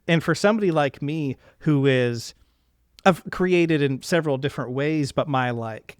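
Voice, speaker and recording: A man's voice: fairly high pitch, dry recording, studio quality. A man hosting a casual interview, reflecting at length and enthusiastically affirming his guest. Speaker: podcast host interviewing a guest.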